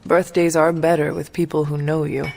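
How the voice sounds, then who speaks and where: A woman playing a stoic, formal warrior hero in a video game cutscene, speaking plainly and gravely. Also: sits low, flat delivery, normal speed, a little mellow. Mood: curious, sincere. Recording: long hall reverb, music behind.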